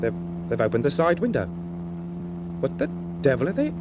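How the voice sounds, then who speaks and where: A man playing a panicky getaway car passenger in a radio drama, speaking urgently over loud engine noise. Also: medium pitch, very animated, moderate pace, close to an everyday voice. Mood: alarmed.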